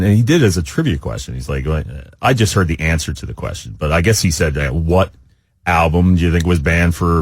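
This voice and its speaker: A man co-hosting a radio talk show, chatting casually in a halting, informal, rambling way. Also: low voice, dry recording, clean studio sound. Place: radio studio. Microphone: close studio microphone.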